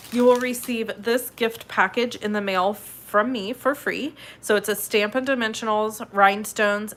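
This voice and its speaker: A woman with a stuffed-up head cold hosting a live card-making tutorial in a chatty, upbeat way, sniffling and clearing her throat now and then. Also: a little high, fairly bright tone, a little hiss or hum. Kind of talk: casual live tutorial chat.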